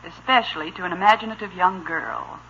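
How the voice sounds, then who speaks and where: Bright-voiced, patient radio sitcom mother. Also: lowish voice, expressive, a little fast, vocal fry, heavily acted. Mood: worried. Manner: coaxing, gently reasoning. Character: patient, worried, gently firm suburban mother. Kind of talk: 1950s radio sitcom acting. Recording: muffled, noisy old radio recording.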